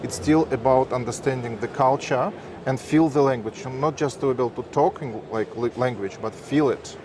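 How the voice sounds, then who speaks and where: A man's voice: medium pitch, normal speed. A man with a Russian accent, a Russian speaker, hosting a casual interview and asking long, rambling questions. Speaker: interviewer, host. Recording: street noise behind.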